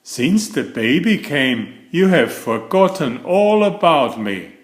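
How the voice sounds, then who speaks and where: A man's voice: a little high, sing-song, slow pace, roomy sound. A man voicing a sensitive young boy bear cub in a storybook, speaking earnestly and emphatically. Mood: upset, wounded.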